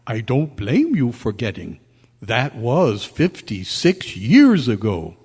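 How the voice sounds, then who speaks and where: A man's voice: medium pitch, huge pitch swings, slow, darkish tone, breathy edge, heavily acted. A man acting a puzzled, formal and courteous military man in an old-time radio play. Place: convention hall, live performance. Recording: reverberant hall stage recording.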